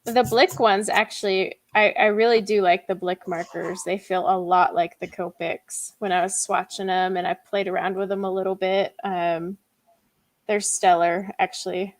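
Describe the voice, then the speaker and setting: A woman's voice: medium pitch, level tone, normal speed. A woman hosting a live coloring chat stream, talking casually with lots of fillers and frequent laughter. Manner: chatty, laughs often, many fillers.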